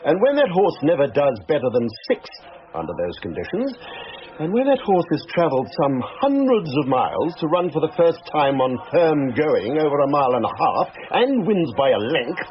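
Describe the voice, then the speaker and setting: An actor playing an authoritative upper-class brigadier and racing steward in a radio drama, precise, pompous and commanding. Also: male voice, on the high side, big pitch swings, normal speed. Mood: stern, scornful. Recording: slightly noisy, dull radio drama.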